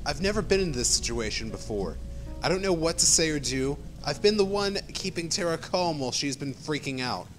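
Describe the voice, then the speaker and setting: A man's voice: a little high, expressive, a little fast, soft background music, lightly acted. A man playing a nervous, defensive young witness in an amateur radio play. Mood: defensive, flustered. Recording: faint noise, rain behind.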